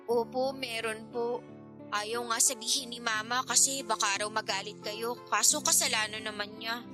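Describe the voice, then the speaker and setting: A woman plays a young niece in a radio drama, her voice high and girlish. She is hesitant, deferential and worried, pleading softly with an elder over prominent background music. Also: steady tone, moderate pace, natural voice. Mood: hesitant, ashamed. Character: apologetic young niece, fresh senior high graduate, worried and respectful.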